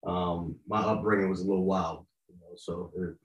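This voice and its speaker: A low-pitched man with a New York accent talks casually as a podcast guest. He tells things in an animated way full of street slang tags, and the room echoes around his voice. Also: normal speed, dull audio. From Yonkers, New York, United States. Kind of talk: casual conversation.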